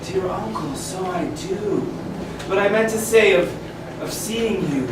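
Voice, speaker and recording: A man acts out a gushing young niece in a campy stage play. The voice is high, slow and effusively melodramatic. It is recorded far from the mic in an echoey, noisy room. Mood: gushing, polite. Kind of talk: campy theatrical staged reading.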